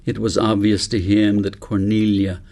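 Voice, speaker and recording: Low, gravelly male storyteller. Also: little pitch movement, normal speed, darkish tone. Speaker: author reading own book aloud. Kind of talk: audiobook narration of a children's story.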